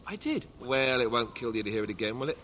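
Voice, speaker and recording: An actor playing a lovestruck young warrior in a video game, earnest and wryly self-mocking. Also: male voice, mid-range voice, very animated, fast talker, a bit bright, slight background noise. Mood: affectionate, playful. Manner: earnest, teasing, wryly joking. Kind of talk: video game dialogue acting.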